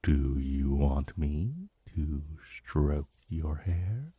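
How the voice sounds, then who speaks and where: A man's voice: extremely low pitch, big pitch swings, slightly rough, dry recording, muffled recording, heavily acted. A man voicing an ancient dragon familiar in a romantic fantasy ASMR roleplay, possessive and silkily tender with a vague menace, murmuring formally and seductively. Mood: amused, possessive. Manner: slow, formal, seductive murmuring with lingering pauses.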